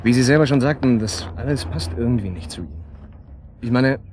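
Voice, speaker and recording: An actor playing a guarded, shady man in a naturalistic radio drama, colloquial, matter-of-fact and quietly insinuating. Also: mid-range voice, brisk, slight background noise, lightly acted. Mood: confiding, reflective.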